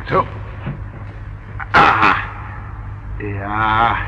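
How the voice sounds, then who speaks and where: An actor playing a resolute, level-headed police detective in a Dutch radio play, speaking in a clipped, commanding way. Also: male voice, lowish voice, drawn-out words, vocal fry, sharp tone, heavily acted. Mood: brisk, businesslike. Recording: noisy old radio drama recording.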